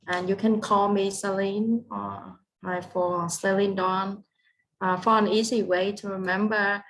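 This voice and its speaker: Slow Vietnamese-accented woman speaking carefully. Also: medium pitch, a bit bright, slight background noise, poor audio. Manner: careful, halting English phrasing. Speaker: guest speaker. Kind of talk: online presentation. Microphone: video call.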